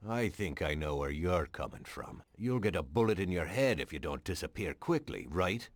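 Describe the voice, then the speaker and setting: An actor playing a blunt, sceptical police detective in a video game, with an American accent and a tough, sardonic manner. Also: male voice, sits low, very animated, moderate pace, no echo, heavily acted. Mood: dismissive. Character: gruff, sceptical hard-nosed male police detective.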